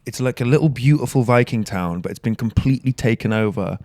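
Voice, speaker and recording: A man's voice: medium pitch, quick pace, a little mellow, no room sound, clean studio sound. A young man from the north of England with a Yorkshire accent, a podcast guest telling joking, self-deprecating stories. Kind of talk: casual podcast chat.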